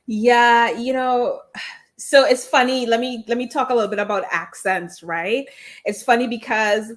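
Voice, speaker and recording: A woman's voice: on the high side, steady tone, a little slow. A woman with a slight Trinidadian accent, an immigration attorney speaking candidly in an interview, often restarting her phrases over a video call. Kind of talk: conversational interview answers.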